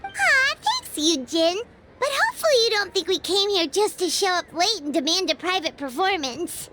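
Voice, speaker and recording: A woman voicing a tiny, childlike, excitable fairy companion in a video game, with a squeaky, perky delivery. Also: moderate pace, very noisy. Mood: friendly. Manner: perky, exclamatory.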